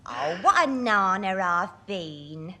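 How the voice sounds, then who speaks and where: An actress playing an excitable, colloquial young English secretary in a British radio sitcom. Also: female voice, medium pitch, slow. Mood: delighted, grateful. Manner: exclamatory, colloquial. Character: excitable, chirpy working-class young woman office secretary.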